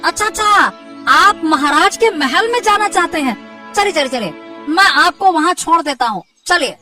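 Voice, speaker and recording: A woman voicing an excitable, earnest young village fisherman in a Hindi cartoon, exclaiming and repeating words urgently. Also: very high-pitched, normal speed, recorded from a distance, music in the background. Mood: alarmed, helpful. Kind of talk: Hindi cartoon moral story acting.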